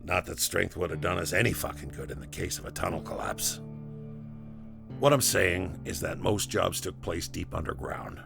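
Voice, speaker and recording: An actor playing a weathered, foul-mouthed older former labourer, reminiscing wryly in a gravelly voice in an audio drama monologue. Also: male voice, deep voice, animated, over music, full character voice. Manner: conversational, hesitant pauses, dry chuckles, swearing.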